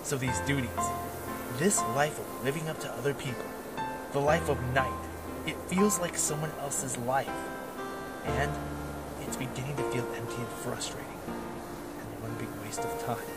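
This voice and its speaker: A man acts out a world-weary werewolf knight who has become a drifter. He broods and doubts himself in a middle-pitched voice. It is fantasy audio drama, with music plainly underneath. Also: normal speed, natural voice. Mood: dejected.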